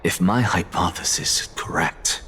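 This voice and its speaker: A man's voice: low voice, moderate pace, fairly bright tone, breathy edge, heavily acted. An actor playing an aloof, defiant male scholar in a game, speaking in a cool, lecturing, disdainful way. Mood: scornful.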